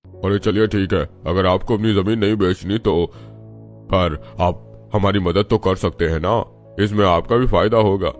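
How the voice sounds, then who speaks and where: A persuasive cartoon property dealer, acted by a man, talks smoothly in a lowish register. Light music runs underneath. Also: steady tone, moderate pace, lightly acted. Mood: coaxing. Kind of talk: cartoon story dialogue. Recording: music bed behind the voice.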